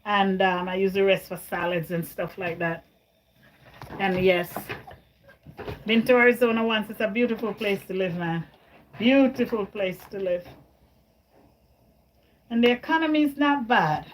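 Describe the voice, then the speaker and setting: A woman's voice: medium pitch, measured pace, long pauses, slight background noise, poor audio. A woman from Jamaica hosting a casual livestream, chatting with viewers, answering their comments and often repeating her words. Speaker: livestream host.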